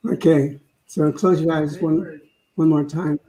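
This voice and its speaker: A man leading a spiritual service with informal, reflective talk and prayer, halting as he goes. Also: on the high side, level tone, warm tone, low-quality recording.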